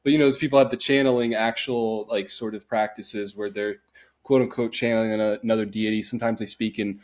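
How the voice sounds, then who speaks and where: A man's voice: lowish voice, fairly even intonation, a little fast, a little mellow. A young man hosting a podcast, talking in a loose, rambling way with lots of fillers. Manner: rambling, laid-back, lots of "like" and "uh". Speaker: podcast host. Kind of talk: casual podcast chat.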